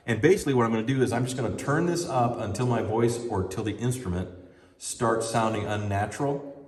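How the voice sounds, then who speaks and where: A man's voice: fairly low pitch, darkish tone. A man giving a casual hands-on lesson on mixing sound, talking through what he does as he adjusts a mixing console and speaks into a handheld mic. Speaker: audio trainer. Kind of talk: hands-on sound desk tutorial. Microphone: handheld microphone.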